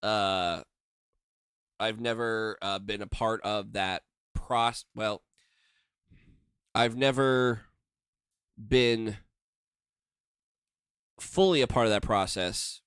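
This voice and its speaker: A man's voice: fairly low pitch, fairly even intonation, extremely slow, long pauses, a bit bright, clean tone, no room sound, clean studio sound. A man co-hosting a casual podcast, rambling, swearing freely and hedging and restarting as he explains things. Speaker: podcast co-host. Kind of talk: casual chat.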